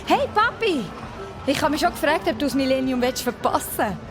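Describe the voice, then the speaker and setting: A woman's voice: high voice, expressive, fairly quick. An actress playing a cheerful, easily thrilled romantic young woman in a Swiss German children's radio play. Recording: music behind the voice.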